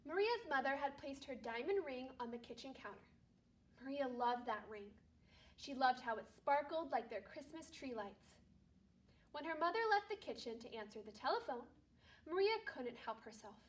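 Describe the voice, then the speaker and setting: A woman teacher reading a storybook aloud to young children, speaking to them directly in an animated way. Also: high-pitched, moderate pace, recorded from a distance. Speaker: second grade teacher.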